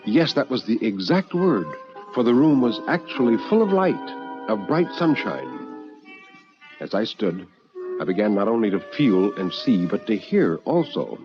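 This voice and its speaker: A man narrating a first-person story in a theatrical, measured and intense old radio drama style. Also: lowish voice, very animated. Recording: dull old radio recording with music.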